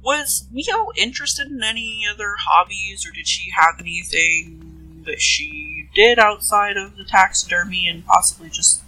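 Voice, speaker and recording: A woman's voice: mid-range voice, expressive, unhurried, hardly any pauses, sharp tone, heavily acted, poor audio. A woman playing an earnest police detective in a role-play drama, asking probing questions politely and rephrasing them tentatively as she goes. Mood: tentative, curious. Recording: music under the voice.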